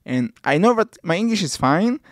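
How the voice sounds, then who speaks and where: A man with an Israeli accent, a native Hebrew speaker, hosting a casual interview in halting, non-native English phrasing. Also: high voice, big pitch swings, no echo, studio quality. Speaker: podcast host.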